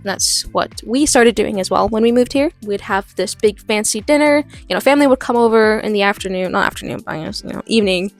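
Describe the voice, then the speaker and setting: A woman's voice: mid-range voice, fairly quick, few pauses. A young woman with an American accent, talking casually and chattily about her drawing and holiday traditions in an art vlog voice-over. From born in the US, raised in Norway. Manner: chatty, laughs often, rambling asides. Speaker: art channel host. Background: soft background music.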